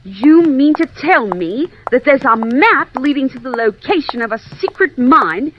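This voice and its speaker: A woman's voice: high voice, animated, measured pace. A bold, indignant young woman reporter, feisty and defiant, in 1940s radio style on a dull lo-fi recording. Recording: dull, lo-fi with faint noise.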